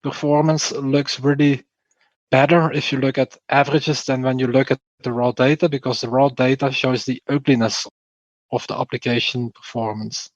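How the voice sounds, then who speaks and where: Man, Flemish accent, mid-pitched voice, emphatic explainer, podcast guest, dry lo-fi recording. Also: little pitch movement, moderate pace, dry recording. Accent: Flemish Belgian. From Belgium, Flanders. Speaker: podcast guest, performance testing engineer. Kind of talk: explanatory interview talk.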